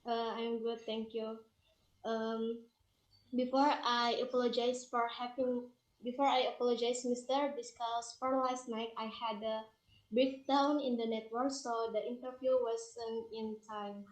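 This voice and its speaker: A young woman, moderating a class presentation in careful, halting learner English. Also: a little high, flat delivery. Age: young adult. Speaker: student moderator. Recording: echoey room.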